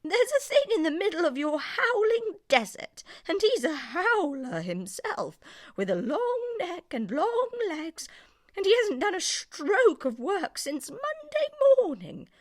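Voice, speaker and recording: Female reader doing indignant horse, high, swooping, earnest, storybook style. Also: very animated, a little slow, no echo, studio quality, heavily acted. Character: earnest, indignant working horse complaining to others.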